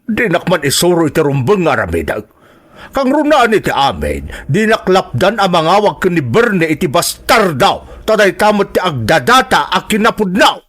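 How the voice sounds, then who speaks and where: A man's voice: high voice, huge pitch swings, normal speed, fairly bright tone, full character voice. An actor with a Filipino accent playing a scornful, blunt male relative in a radio drama, speaking in a taunting way. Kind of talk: Ilocano radio-style drama acting.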